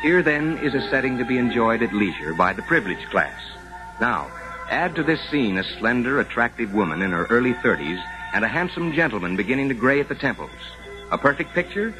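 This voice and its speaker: A man narrating a 1950s radio drama in a formal, measured, dramatic manner. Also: medium pitch, big pitch swings, low-quality recording. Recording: 1950s radio recording with music. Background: music.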